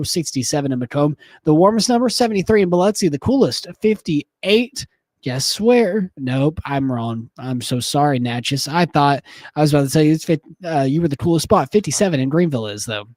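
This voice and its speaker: A hoarse man with a head cold, a TV meteorologist giving a casual, upbeat live forecast with chatty, self-deprecating asides. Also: fairly high pitch, dry recording, clean studio sound.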